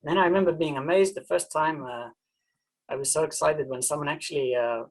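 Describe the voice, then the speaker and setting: A man from New Zealand, a university professor, gives an informal presentation talk in a halting way, often repeating words as he searches for them. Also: fairly high pitch, poor audio.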